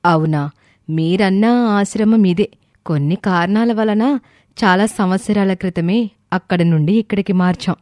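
A woman voicing a cautious, compassionate orphanage head in a dramatised story, measured, formal and polite. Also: medium pitch, darkish tone, dry recording, studio quality, natural voice. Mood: thoughtful.

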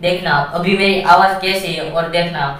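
A man's voice: high-pitched, steady tone, moderate pace, a bit bright, distant mic. A young man with a thin, helium-altered voice, presenting casually to camera. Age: young adult. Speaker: video host. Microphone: camera mic a few steps away. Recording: very echoey room, off mic.

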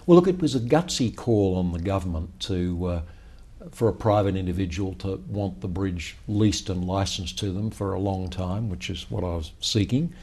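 Older founder, dark mellow voice on the lower side, measured storyteller, lapel mic in an office. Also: male voice, husky. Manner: measured, storytelling, frequent "well, look" openers. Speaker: company founder and chief executive. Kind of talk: business interview. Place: high-rise office meeting room with city view.

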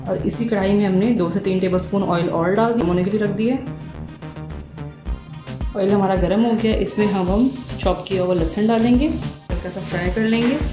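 A woman narrates a home recipe for her cooking channel, moving steadily through each step. Her voice sits in the middle of the range. It rings in an echoey room, with music playing clearly underneath. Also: medium pitch, moderate pace. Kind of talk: recipe voice-over tutorial. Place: home kitchen.

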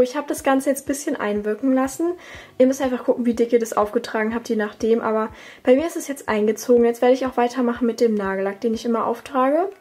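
Quick, breathy young woman vlogger. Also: a little high, darkish tone. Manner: chatty, with fillers and self-corrections. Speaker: beauty vlogger. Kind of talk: casual tutorial chat. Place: desk at home.

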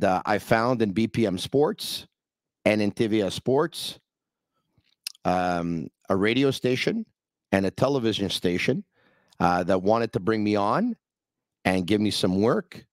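A man's voice: fairly low pitch, dry recording. A middle-aged man hosting his podcast from a small studio, talking earnestly and upbeat to the camera into a broadcast mic. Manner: speaks English and French, earnest and upbeat. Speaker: podcast host. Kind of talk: casual talk to camera. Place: studio with brick wall, indoors. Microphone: broadcast mic on arm.

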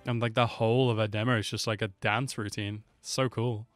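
A man's voice: on the low side, little pitch movement, fairly quick. A man in his twenties giving casual, slangy, easily impressed reaction commentary into a close desk microphone. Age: 20s. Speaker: YouTube reaction host. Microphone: close desk microphone with pop filter. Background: music from game trailers.

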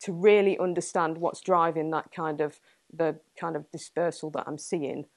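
A young Englishwoman with a slightly low voice fields questions in a small meeting room, picked up by a camera at a distance. She thinks out loud, hedges her points and laughs softly between them. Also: fairly even intonation, normal speed, no echo, studio quality. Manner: thoughtful, hedging, laughs often. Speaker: guest speaker, entomologist. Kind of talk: answering audience questions after a talk.

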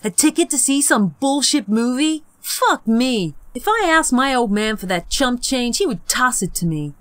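Bratty seventh-grade boy, played by a female audiobook reader: mocking, brash, raised pitch, dry close sound. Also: expressive, normal speed, fairly bright tone, dry recording. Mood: sneering.

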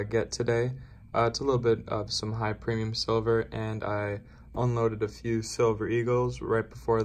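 A young man chatting casually as a coin-collecting vlogger, relaxed and rambling with filler words, filmed on a phone. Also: on the low side, slight background noise. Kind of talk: casual vlog chat. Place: car, then indoors at a desk.

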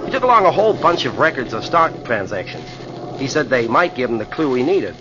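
An American man playing a brash, excitable newspaper reporter sidekick in a 1940s radio drama, speaking emphatically. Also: medium pitch, a little fast, lightly acted. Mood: wry, emphatic. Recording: muffled, noisy 1940s radio recording.

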